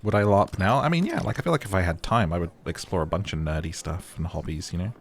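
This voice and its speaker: A man's voice: sits low, quick pace, vocal fry. A man doing casual game commentary in a rambling, playful, informal way as the host of a gaming video. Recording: game music under the voice.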